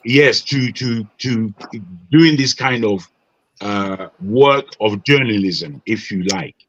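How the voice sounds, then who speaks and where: Measured Nigerian man on a lo-fi call. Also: on the low side, a bit bright, no room sound. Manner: measured, advising, with frequent restarts. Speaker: panelist. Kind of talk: online panel discussion.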